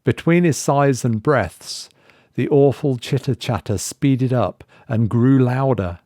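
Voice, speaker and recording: A man narrating a horror story in a measured, suspenseful way. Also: medium pitch, warm tone, no room sound, clean studio sound. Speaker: story reader.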